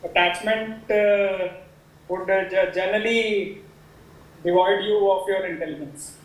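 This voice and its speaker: A man asking his teacher a question in class, hesitant with many pauses. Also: high-pitched, fairly even intonation, heavy reverb, low-quality recording.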